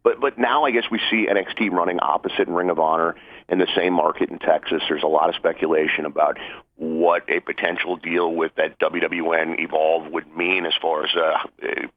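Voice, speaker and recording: A man's voice: deep voice, steady tone, fairly quick. A man asking a journalist's question on a press conference call over the telephone. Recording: narrow tinny phone line.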